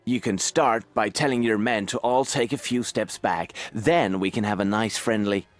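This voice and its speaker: A man's voice: lowish voice, moderate pace, no echo, natural voice. An actor playing a self-assured, direct American agency man in a video game. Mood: cocky.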